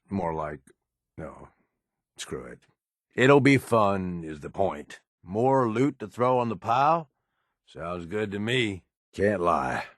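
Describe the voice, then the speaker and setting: An actor voicing a tough, cynical middle-aged American raider in a video game, gruff and folksy in a plain-spoken way. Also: male voice, sits low, dry recording, studio quality, exaggerated voice. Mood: wry, callous. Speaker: companion character in a video game. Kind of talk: acted video game dialogue.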